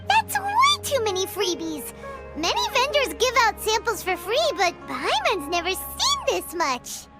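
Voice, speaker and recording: An extremely high, squeaky little sprite swoops wildly in pitch. The figure is a bubbly, bossy, childlike game companion. Music plays clearly behind the perky chatter. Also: female voice, huge pitch swings, a little slow, exaggerated voice. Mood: amazed. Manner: speaks of self in third person, perky. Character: tiny excitable childlike female floating companion, bubbly and bossy. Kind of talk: video game voice acting. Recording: game dialogue over clear background music.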